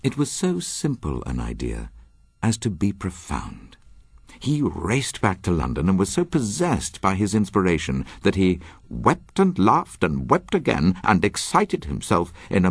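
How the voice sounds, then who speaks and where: A man's voice: sits low, huge pitch swings, moderate pace, vocal fry, slightly rough, no echo, clean studio sound. A man introducing a radio drama with scripted narration in a solemn, dramatic storytelling manner.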